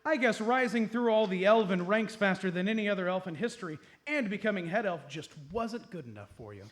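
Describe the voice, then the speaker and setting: This is a man acting as a cocky, bossy amateur detective in a comic radio play. His voice sits high and swings freely, and he delivers everything with mock-serious theatrical flair. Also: expressive, brisk. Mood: triumphant, accusing.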